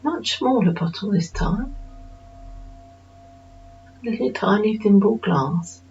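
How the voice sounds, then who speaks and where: A woman narrating a guided meditation in a slow, soothing manner with unhurried pauses. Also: mid-range voice, expressive, a little mellow, slight background noise. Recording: dull lo-fi recording with music behind.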